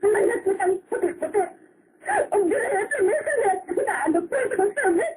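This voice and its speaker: A wronged wife in an emotional radio drama. Her voice is extremely high and swings widely as she confronts her husband tearfully. The broadcast recording is clearly noisy. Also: expressive, brisk, darkish tone. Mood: tearful, accusing. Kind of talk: Philippine radio drama acting in Cebuano.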